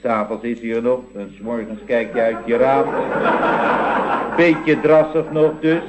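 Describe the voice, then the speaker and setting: A man's voice: medium pitch, fairly even intonation, unhurried, heavy background noise, natural voice. An actor playing an apologetic, earnest employee of a building firm in a radio comedy, hesitant, dry and deferential to his boss. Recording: dull, muffled vintage radio recording.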